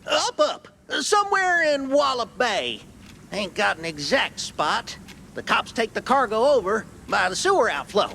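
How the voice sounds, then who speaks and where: A man's voice: very high voice, big pitch swings, measured pace, creaky, sharp tone, slight background noise, full character voice. A man voicing a nervous, scrawny cartoon gangster foreman, folksy and pleading. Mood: sheepish. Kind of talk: animated game voice acting.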